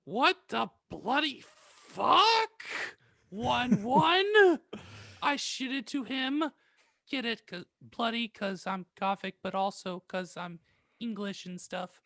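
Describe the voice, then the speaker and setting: Woman playing a slow, defiant teenage goth. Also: on the high side, expressive, vocal fry, bright, forceful tone, no room sound, clean studio sound, heavily acted. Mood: disgusted. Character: brash, defiant, foul-mouthed teenage goth.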